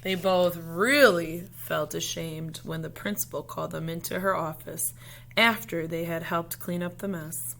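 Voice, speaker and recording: A woman reading a children's picture book aloud expressively, in measured phrases with pauses, as a storyteller speaking to young listeners. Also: fairly low pitch, fairly even intonation, vocal fry, a bit bright.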